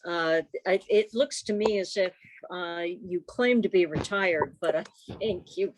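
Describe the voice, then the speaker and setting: A woman talks on a video call as an informal meeting host. Her delivery is slow and halting, with an unhurried, unscripted feel as she moves between club business and introductions. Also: on the low side, a little hiss or hum, rough recording.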